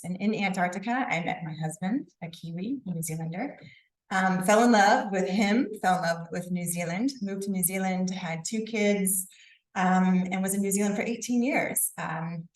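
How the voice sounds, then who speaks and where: A woman from the United States giving a casual talk to students about a university, chatting and asking the audience questions. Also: lowish voice. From United States (St. Louis, Missouri). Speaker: university recruitment and admissions officer. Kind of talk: informal presentation to a student club. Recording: roomy sound, mic at a distance.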